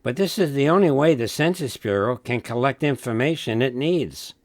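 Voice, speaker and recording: A man's voice: mid-range voice, animated, normal speed, no echo, clean studio sound, pitched above the performer's own voice, close to an everyday voice. A man playing a courteous, patient census enumerator, explaining formally in a scripted training-film role play. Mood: polite, earnest.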